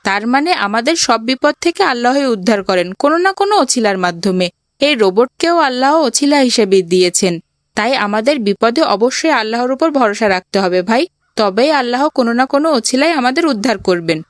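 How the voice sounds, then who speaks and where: Young boy played by a woman, children's cartoon: high-pitched, pious, sincere, patient; dry sound. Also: lively intonation, fairly quick, dry recording, lightly acted. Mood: earnest. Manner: earnest, explains patiently. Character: pious, kind young boy who owns a robot.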